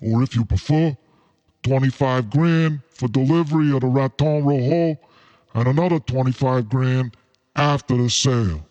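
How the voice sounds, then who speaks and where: A man's voice: medium pitch, moderate pace, darkish tone, dull audio, close to an everyday voice. A man playing a scheming crime boss in a noir radio play, formal and genteel with an edge of menace. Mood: bargaining. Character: suave scheming crime boss Fat Cat, noir villain.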